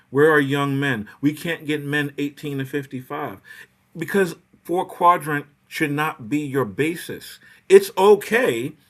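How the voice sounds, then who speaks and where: A man's voice: fairly high pitch, fairly bright tone. A man co-hosting a podcast, chatting casually in an impassioned, opinionated way with lots of conversational filler. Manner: impassioned, ranting, peppered with "you know". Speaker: podcast host.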